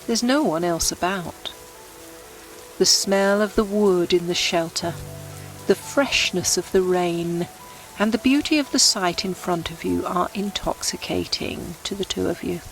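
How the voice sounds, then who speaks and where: A woman narrates a scripted guided meditation in a gentle, unhurried, descriptive storytelling manner. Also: lowish voice, low-quality recording. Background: background music.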